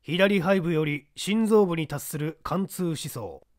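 A man voicing a composed middle-aged gentleman who sets a young applicant a test, speaking in a measured, lecturing way. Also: a little high, dry recording, clean studio sound. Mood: probing. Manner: measured, lecturing, poses pointed questions. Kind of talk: audiobook reading of a detective story.

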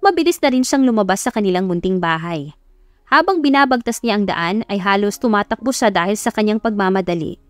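A woman's voice: medium pitch, no echo, clean studio sound. A woman with a Filipino accent reading a scripted story as an audiobook narrator.

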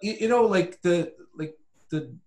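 A man's voice: sits high, expressive, unhurried, darkish tone. A man from Brazil giving casual, matter-of-fact explanations as a podcast guest. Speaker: podcast guest, hardware company founder.

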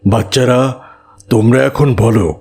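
A husky-voiced village imam, acted by a man, in a moral cartoon. He is kindly and patient, teaching in slow, deliberate phrases at a medium pitch. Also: darkish tone. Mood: patient, instructive. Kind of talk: cartoon moral-tale dialogue.

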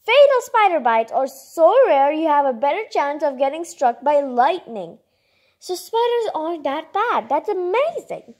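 A woman's voice: high voice, big pitch swings, a little slow, a little mellow. A woman reading a children's picture book aloud, expressively and informally, with chatty asides and exclamations. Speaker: read-aloud narrator.

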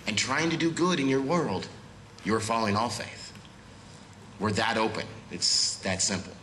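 A man's voice: on the low side, expressive, normal speed, pushed and bright, a little hiss or hum. A man in his thirties with a cold, sounding a little nasal, giving an earnest, slightly nervous talk and prayer from a church pulpit. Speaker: guest speaker. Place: church sanctuary at a pulpit, indoors. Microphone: far camera with pulpit microphone. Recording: roomy echo of a church hall.

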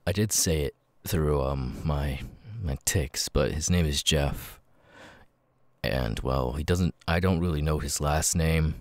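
A man playing a nervous, grateful young outcast with vocal tics in an ASMR roleplay, speaking haltingly and repeating words. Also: darkish tone, no room sound, full character voice. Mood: hesitant, weary. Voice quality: very deep voice with stuttering, sudden high-pitched tic outbursts.

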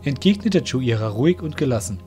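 A man's voice: mid-range voice, sing-song, a little fast, darkish tone, faint music behind. A man narrating a scripted fantasy audio drama.

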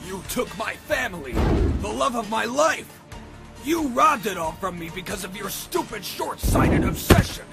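Man as a very high, strained, furious echidna hero. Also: big pitch swings, unhurried, vocal fry, full character voice. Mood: bitter, demanding. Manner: forceful, shouting, strained. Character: furious, grief-stricken male echidna hero. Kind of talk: amateur comic dub voice acting. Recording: music loud behind the voice.

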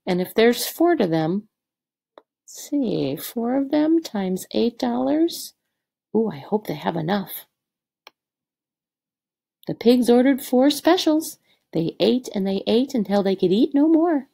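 A woman's voice: a little high, sing-song, slow pace, creaky, pauses often, mellow, breathy edge. A woman teacher reading a picture book aloud to third graders, expressively and with direct questions to the children.

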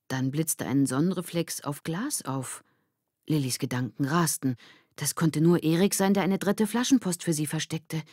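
Low-voiced woman narrating a children's story. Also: a little mellow, breathy edge, dry recording, studio quality. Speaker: audiobook narrator. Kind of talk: scripted narration of a children's novel.

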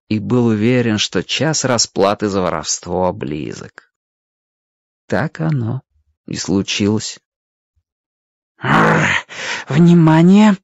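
A man narrating a scripted children's story in a measured, expressive way. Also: medium pitch, dry recording, highs cut off.

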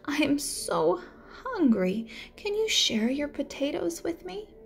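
A woman's voice: high voice, sing-song, slow pace, gravelly, soft background music, voice pushed up, heavily acted. A woman voicing a humble, polite, hungry male turtle in a children's storybook. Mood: hungry, hopeful. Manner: earnest, polite. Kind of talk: children's storybook read-aloud.

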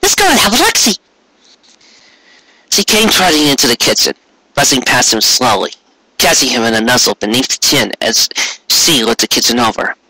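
A man's voice: medium pitch, fairly quick, bright, forceful tone, slightly rough, no room sound, low-quality recording. A man narrating a story expressively, savoring the sentences and now and then stumbling on a word. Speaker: fan fiction reader.

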